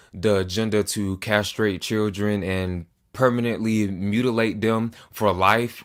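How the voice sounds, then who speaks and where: A man in his twenties speaks straight to the camera in an earnest, measured way. His voice sits a little on the low side, and he sometimes stumbles and restarts a phrase. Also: fairly bright tone. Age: 20s. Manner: earnest, deliberate, with small restarts. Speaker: political commentator.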